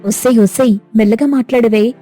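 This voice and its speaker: A woman voicing a cautious older schoolgirl who pleads and hushes her younger sister. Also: a little high, fairly quick, soft background music, close to an everyday voice. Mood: pleading, hushed. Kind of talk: cartoon voice acting.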